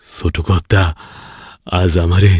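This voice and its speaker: A man voicing a humble, obedient long-serving shop craftsman in a cartoon, husky and hesitant. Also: low-pitched, little pitch movement, takes it slowly, darkish tone, no echo, studio quality, heavily acted. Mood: dejected. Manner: deferential, hesitant. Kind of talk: cartoon voice acting.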